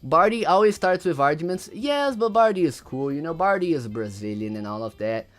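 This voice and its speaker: Young male streamer, Portuguese first language, slightly high voice, rambling casual talk, faint music. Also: expressive, fairly quick. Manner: rambling, repeats words, reads chat aloud. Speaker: livestreamer. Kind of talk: casual livestream commentary.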